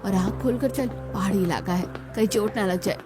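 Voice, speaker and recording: A woman's voice: mid-range voice, normal speed, music in the background, close to an everyday voice. A woman voicing an older village mother-in-law, bossy but caring, who scolds and instructs in a cartoon story. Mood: chiding. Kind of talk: Hindi cartoon story dialogue.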